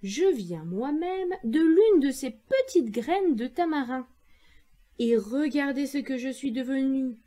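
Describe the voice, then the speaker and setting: A woman plays a friendly talking tamarind tree from a children's storybook. The voice is high and swoops with enthusiasm. It explains things slowly and cheerfully to young listeners. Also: lively intonation, warm tone. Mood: proud.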